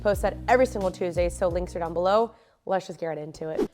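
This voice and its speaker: A woman's voice: on the low side, quick pace, darkish tone, soft background music. A young woman with a stuffy nose, chatting casually and openly to camera from her living room as a faith and lifestyle vlogger, with lots of fillers. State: stuffy, sniffly.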